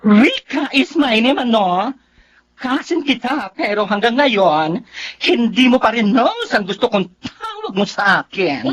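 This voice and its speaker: Sassy, campy Filipino cousin, very animated. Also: female voice, a little high, measured pace, husky, full character voice. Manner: campy, mixes in English slang. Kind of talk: Filipino radio drama acting.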